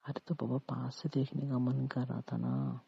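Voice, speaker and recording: A man playing a teasing, romantic, mildly demanding husband in a casual, coaxing at-home conversation, with a husky voice. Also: mid-range voice, very animated, normal speed, no room sound, exaggerated voice. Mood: teasing, affectionate. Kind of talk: intimate Hindi role-played couple conversation. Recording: muffled, lo-fi, dry call-style recording.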